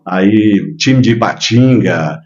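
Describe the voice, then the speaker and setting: A man in his sixties from Minas Gerais, a guest telling his life story in relaxed, casual chat with frequent hesitations. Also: lowish voice, slow, lo-fi sound. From Minas Gerais, Brazil. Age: 60s. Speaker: guest, local businessman.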